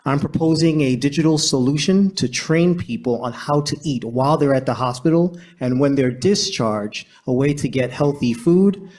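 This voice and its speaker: A man, a consultant, giving a short pitch at an event in a plain, personal storytelling way. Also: normal speed, a little mellow.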